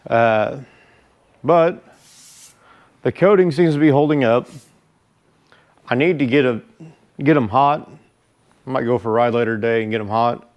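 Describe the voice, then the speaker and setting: A man giving a casual product review as a motorcycle vlogger, speaking informally and haltingly. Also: mid-range voice, lo-fi sound. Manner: informal, halting, unhurried delivery.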